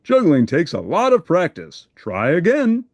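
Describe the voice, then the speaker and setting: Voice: mid-range voice, wildly swooping pitch, measured pace, darkish tone, no echo, clean studio sound, voice pushed up, full character voice. A performer playing an encouraging street juggler in a children's storybook. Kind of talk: storybook character voice.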